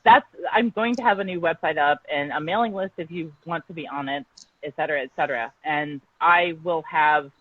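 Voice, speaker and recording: A woman's voice: deep voice, brisk, no echo. Outspoken woman on a lo-fi call. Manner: outspoken, emphatic. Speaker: guest journalist. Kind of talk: online show interview.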